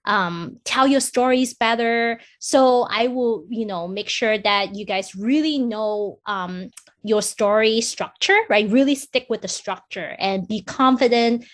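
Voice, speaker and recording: Loud, lively non-native woman panelist. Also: fairly high pitch, a little slow. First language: not English. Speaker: hiring manager on a career panel. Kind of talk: casual panel advice.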